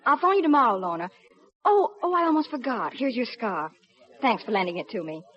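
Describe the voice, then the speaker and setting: An actress playing an observant, insistent young woman passenger in a 1950s radio drama, speaking briskly and earnestly. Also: fairly high pitch, very animated. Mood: friendly. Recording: dull 1950s radio broadcast recording, audience murmur.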